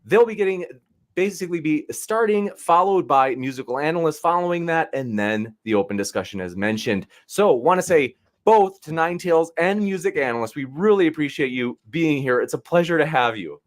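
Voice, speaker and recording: A man's voice: a little high, animated, normal speed. A man moderating a debate, chatty and enthusiastic, calling the audience folks. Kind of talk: upbeat moderator introduction.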